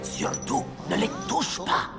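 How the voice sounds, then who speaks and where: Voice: over loud music, close to an everyday voice. An actor playing a scheming evil sorcerer who poses as a kindly uncle in a French cartoon fairy tale, with a commanding, theatrical delivery. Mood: falsely kind, instructing. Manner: slow, commanding, theatrical incantations.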